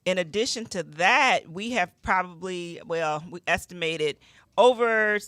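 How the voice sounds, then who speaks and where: A woman's voice: lowish voice, unhurried, a bit bright, dry recording, studio quality. A woman chatting casually on a radio show in a conversational, informative way.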